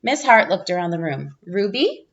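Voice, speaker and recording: A woman reads a children's story aloud in a medium-pitched voice, with teacherly, expressive emphasis. The recording is a little dull and lo-fi. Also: moderate pace, slight background noise. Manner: animated character voices, clear storytelling emphasis. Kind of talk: expressive children's picture book read-aloud.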